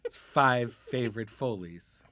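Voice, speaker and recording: A man's voice: mid-range voice, unhurried, a bit bright, no room sound. A man hosting a podcast interview in a casual, conversational style. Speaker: podcast host.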